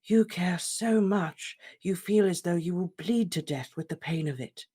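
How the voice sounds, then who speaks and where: A reader voicing an old male headmaster wizard, speaking in a calm, measured, gentle way. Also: mid-range voice, breathy edge, pitched above the performer's own voice. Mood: serene. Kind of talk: audiobook character reading.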